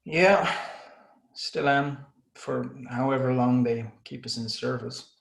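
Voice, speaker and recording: A man playing a wry, confident bounty cop with a gravelly, laconic, teasing delivery in a screenplay read. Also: mid-range voice, steady tone, slow. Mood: dry, amused. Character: wry, confident male bounty cop, smooth flirtatious swagger. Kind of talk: screenplay table read, dramatic dialogue.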